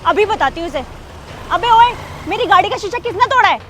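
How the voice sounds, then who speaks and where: A woman's voice: very high-pitched, lively intonation, fairly quick, a little hiss or hum, heavily acted. A woman playing a rude, haughty rich woman. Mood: furious. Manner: rude and shouting, later softly apologetic. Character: arrogant rich young woman driver. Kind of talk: short social drama skit.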